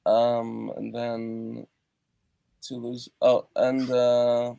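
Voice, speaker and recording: A man from Europe, a musician guest on an interview over a video call from his treated home studio, talking in a halting way with many fillers and restarts. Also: medium pitch, completely flat delivery, creaky, mellow, dry recording, lo-fi sound.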